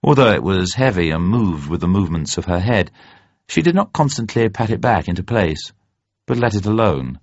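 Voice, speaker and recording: A man reading a novel aloud as dramatic narration, measured, literary and expressive. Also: sits low. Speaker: narrator, audiobook reader. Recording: dull, roomy recording.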